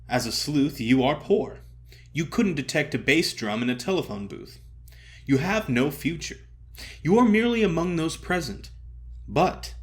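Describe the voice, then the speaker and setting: A man voicing an effusive, theatrical older stage comedian who cajoles and flatters grandly in an audio story. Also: a little high. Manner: emphatic, drawn-out address, grand pronouncements.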